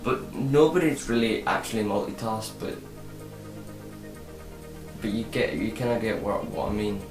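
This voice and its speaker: A teenage boy talking to camera in a casual vlog, halting and repeating himself with many fillers. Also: lowish voice. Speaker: vlogger. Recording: roomy echo with electronic music behind.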